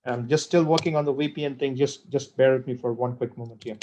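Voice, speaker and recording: A man presenting a software webinar on a computer call, talking with many fillers and repeated words. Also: mid-range voice, fairly even intonation, quick pace, slight background noise. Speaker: product presenter.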